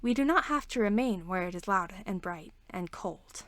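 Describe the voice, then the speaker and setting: A woman voicing a reserved, gentle male alien scientist who speaks formally in a storybook reading. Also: medium pitch, expressive, dry recording, close to an everyday voice. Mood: quiet, considerate. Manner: formal, measured wording.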